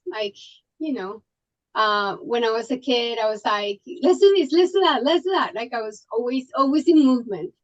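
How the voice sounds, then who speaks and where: A middle-aged woman from Colombia, a surgeon guest, telling her story in a casual interview with many restarts and fillers. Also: a little high. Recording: dull lo-fi audio.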